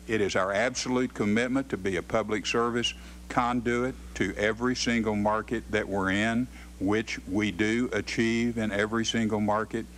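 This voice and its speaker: Lowish-voiced male executive, faint hum. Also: a bit bright. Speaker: corporate executive. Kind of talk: formal public remarks.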